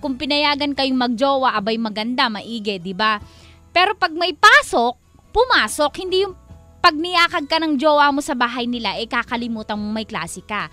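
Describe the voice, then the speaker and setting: A woman's voice: high-pitched, lively intonation, normal speed, sharp tone. A woman with a Filipino accent co-hosting a radio advice talk in an emphatic, colloquial, admonishing style. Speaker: radio co-host. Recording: music playing behind the voice. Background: background music.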